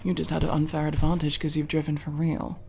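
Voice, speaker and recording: A woman's voice: low-pitched, little pitch movement, fast, vocal fry, music in the background. A woman playing a teasing, foul-mouthed tsundere tomboy friend in an ASMR roleplay, bickering playfully with mock-grand turns of phrase. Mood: playful, competitive.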